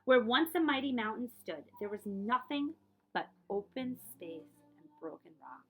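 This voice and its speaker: A woman librarian reading aloud for children in an expressive storytelling way, speaking directly to her young listeners. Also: a little high, normal speed, soft background music, low-quality recording.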